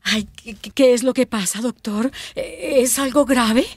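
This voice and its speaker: A woman's voice: a little high, measured pace, no room sound, clean studio sound. An actress with a Colombian accent playing an emotional, defensive mother in a radio soap opera. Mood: anxious. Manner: emotional, pleading, indignant. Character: distraught, defensive mother of a young girl.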